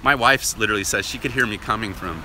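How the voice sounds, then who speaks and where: A man vlogging while walking outdoors. He talks loudly and casually, with no microphone on, and keeps tossing in "right?". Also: on the low side, fast talker, noisy background. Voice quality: loud voice. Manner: rambling, chatty, often asks "right?". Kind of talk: casual walk-and-talk monologue. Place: outdoors on a residential street, walking. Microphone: no worn mic, camera or phone at hand.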